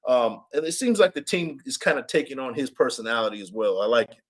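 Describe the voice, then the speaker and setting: A man with a raspy voice hosting a sports fan podcast, chatting casually and repeating himself for emphasis. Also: medium pitch, fast talker. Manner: animated, repeats himself, reads chat comments aloud. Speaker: podcast host. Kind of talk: casual live-stream chat.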